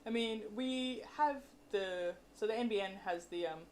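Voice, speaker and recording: A woman's voice: medium pitch, moderate pace. Chatty woman speaker, noisy room recording. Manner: chatty, explanatory, candid about limits of expertise. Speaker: guest speaker, researcher and science communicator. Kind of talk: informal question-and-answer after a presentation. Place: presentation room with an audience.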